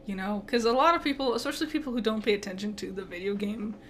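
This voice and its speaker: A woman's voice: mid-range voice, quick pace, a bit bright. A young woman co-hosting a casual podcast, swearing freely and laughing often. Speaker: podcast co-host. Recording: faint background noise.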